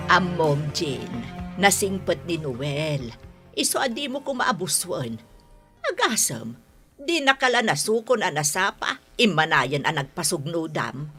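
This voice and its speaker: A woman's voice: lowish voice, very animated, measured pace, pushed and bright, breathy edge, faint music behind, full character voice. An actress playing a patient, middle-aged Ilocano mother in a radio drama, admonishing her grown daughter in a motherly way. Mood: gently chiding. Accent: Ilocano, northern Philippines.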